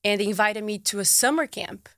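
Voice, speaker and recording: A woman's voice: mid-range voice, a little fast, a bit bright, no room sound. Young woman, Brazilian accent, bubbly, laughing, chatty interview guest, dry close sound. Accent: Brazilian Portuguese. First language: Portuguese. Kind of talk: casual interview chat.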